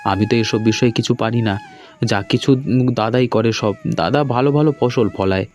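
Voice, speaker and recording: A man's voice: mid-range voice, normal speed, darkish tone, lightly acted. A man playing a hospitable, insistent young husband in a cartoon, with a hearty conversational manner. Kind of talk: cartoon dialogue acting. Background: background music.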